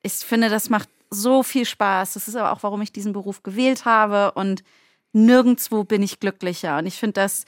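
A woman's voice: medium pitch, normal speed, no echo, clean studio sound. A woman co-hosting in casual chat, speaking in an effusive, informal way. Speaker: co-host and scriptwriter.

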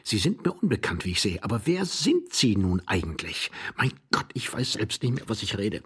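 Timid, fussy gentleman played by a male reader, breathy and animated, pleading in flustered, wordy desperation; storybook character. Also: lowish voice, normal speed, pitched above the performer's own voice, full character voice. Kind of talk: audiobook character voice.

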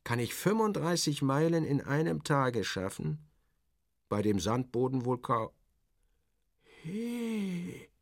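A man's voice: medium pitch, big pitch swings, takes it slowly, pauses often, no echo, studio quality. A man performing dramatic first-person literary narration in character, wry and theatrical, in clipped phrases.

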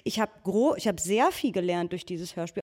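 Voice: mid-range voice, lively intonation, moderate pace, darkish tone. A panel participant arguing spontaneously and insistently, repeating phrases to hold the floor. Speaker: discussion participant.

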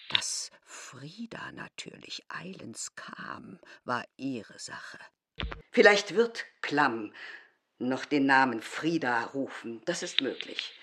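Indignant innkeeper's wife, radio play, slow n breathy, swings up and down, drops to whispers. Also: female voice, rumbling low, full character voice. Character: indignant, disbelieving village innkeeper's wife, proud and reproachful. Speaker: actor. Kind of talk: literary radio drama acting.

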